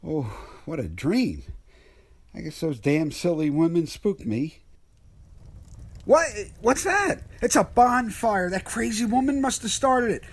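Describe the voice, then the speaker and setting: Bewildered trucker played by a man for horror audio drama. Husky, slow-paced, incredulous. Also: high-pitched, big pitch swings, full character voice. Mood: startled, grumbling. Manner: incredulous, exclaiming. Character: bewildered, skeptical trucker, frightened and exasperated.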